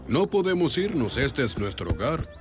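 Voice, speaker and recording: Protective dinosaur chief played by an actor, solemn, declamatory, sweeping pitch, loud music underneath. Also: sing-song, normal speed, natural voice. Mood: firm, grave. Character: grave, protective male dinosaur leader. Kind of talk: children's cartoon dubbing.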